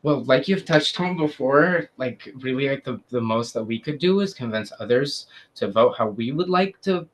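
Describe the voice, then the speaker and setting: An earnest young man from Syria answers questions before a student committee. He speaks with frequent pauses and fillers at a middling pitch. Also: lively intonation, poor audio. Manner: hesitant, many "um"s, earnest. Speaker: student applicant.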